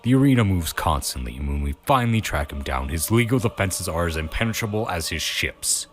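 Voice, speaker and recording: Man playing deep-voiced stern imperial official. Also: expressive, a little hiss or hum. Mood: stern, resolute. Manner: formal, measured, resolute. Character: stern, authoritative imperial official in space fantasy. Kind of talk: dramatic character speech.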